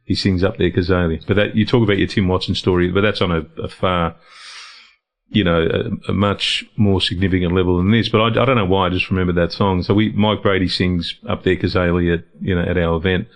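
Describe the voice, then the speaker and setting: A man's voice: deep voice, steady tone, brisk. A middle-aged man, a guest in a casual interview, speaking reflectively and often restarting or repeating words as he gathers his thoughts. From Lebanon, raised in Australia. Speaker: guest, founder of a men's health organisation.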